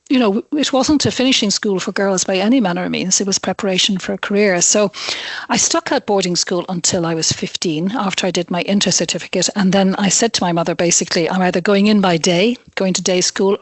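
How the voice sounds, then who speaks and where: An Irish woman in her sixties, a guest telling her story in a chatty, relaxed radio interview. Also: medium pitch, quick pace, dry recording. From Ireland. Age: 60s. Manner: chatty, laughs now and then while recounting.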